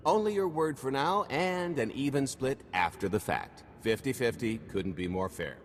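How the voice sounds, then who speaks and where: An actor playing a sly, smooth-talking alien thief in a video game, with breezy salesman patter. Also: medium pitch, lively intonation, normal speed, close to an everyday voice. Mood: confident pitch. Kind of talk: video game cutscene acting. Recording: echoing hall, background hum.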